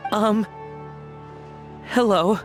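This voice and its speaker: A man's voice: high voice, extremely slow, faint music behind. A man voicing a nervous, apologetic henchman in a video game, speaking hesitantly. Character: nervous, apologetic male henchman, caught and pleading.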